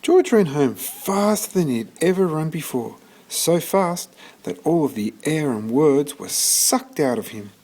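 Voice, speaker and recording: A man reading a children's picture book aloud as scripted narration, expressively and with deliberate pauses. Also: a little high, animated, darkish tone. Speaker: storybook reader.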